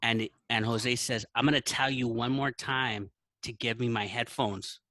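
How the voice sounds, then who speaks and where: Man, bright voice, casual storytelling, quotes dialogue in character, dry close sound. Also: medium pitch, fairly even intonation, unhurried, no echo. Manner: acts out dialogue in anecdotes. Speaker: podcast guest, teacher.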